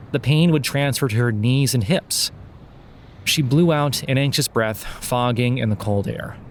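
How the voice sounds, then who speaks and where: Man, audio drama narrator, average pitch, measured storytelling, scripted prose. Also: a little hiss or hum.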